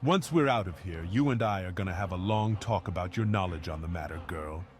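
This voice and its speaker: A man's voice: deep voice, a little fast, lightly acted. A man voicing a blunt, impatient male enforcer, over music. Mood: curt. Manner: curt, impatient.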